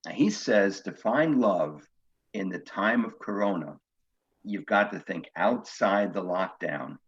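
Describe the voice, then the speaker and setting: A man's voice: low voice, animated, slow pace. A man playing a nervous, cultured older widower in a comic one-act play, hesitant, stammering and earnest. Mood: awkward, explaining. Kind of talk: one-act stage comedy acting. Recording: dull, lo-fi sound.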